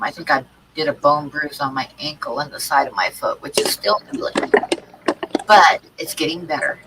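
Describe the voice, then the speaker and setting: A woman's voice: low-pitched, background noise. A woman with a stuffy cold hosting an art livestream, chatting casually with many ums and restarts. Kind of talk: casual chat to live chat.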